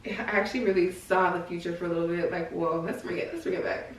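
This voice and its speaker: A woman's voice: fairly low pitch, fast talker, far from the mic. A woman podcast co-host chatting casually in a laid-back, teasing, slangy way. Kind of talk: casual chat. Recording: echoey room, off-mic.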